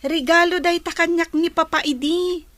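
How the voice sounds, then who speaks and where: A woman voicing a gentle young student in an audio drama. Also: very high-pitched, steady tone, moderate pace. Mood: helpful.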